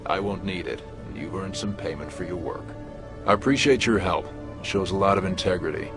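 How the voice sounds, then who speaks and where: A man's voice: deep voice, fairly quick, music in the background, natural voice. A man playing a sincere, appreciative character in a video game cutscene. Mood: grateful. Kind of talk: scripted game cutscene acting.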